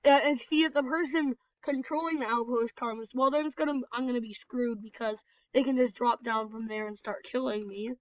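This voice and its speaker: A woman's voice: a little high, fairly even intonation, fairly quick, hardly any pauses, no echo. Congested woman chatting over a game. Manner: chatty, rambling, apologetic. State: nasal and congested from a cold. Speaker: gameplay video host. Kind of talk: casual game commentary. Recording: dull, lo-fi, dry recording.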